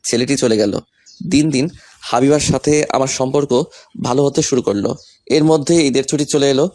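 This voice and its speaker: A man's voice: medium pitch, moderate pace, darkish tone, dry recording. A young man reading a scripted first-person romantic story, voicing the male lead's lines as well. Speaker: story narrator and male lead.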